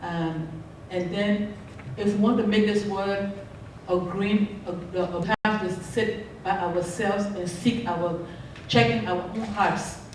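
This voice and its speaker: A woman's voice: medium pitch, steady tone, slow pace. A middle-aged woman with a Liberian accent giving impromptu public remarks as an embassy guest, speaking into a handheld microphone. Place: large concert hall stage, seated. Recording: echoey hall, distant pickup, faint noise.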